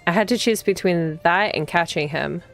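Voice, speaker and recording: A woman with a slightly low voice streams a story game. She moves between reading the text aloud and casual commentary, thinking out loud as she goes. Soft music plays behind her voice. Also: moderate pace. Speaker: streamer playing a visual novel. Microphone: streaming microphone.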